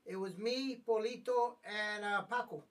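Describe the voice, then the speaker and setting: A man guest, an animated storyteller who slips into Spanish. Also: high-pitched, a little slow. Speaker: guest, former radio worker. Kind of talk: casual chat. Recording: distant mic in a studio.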